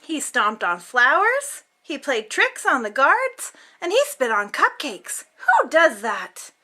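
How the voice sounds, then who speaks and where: A woman's voice: sits high, wildly swooping pitch, slow pace, pushed and bright. A woman reading a children's picture book aloud as host, theatrically, drawing out words for emphasis.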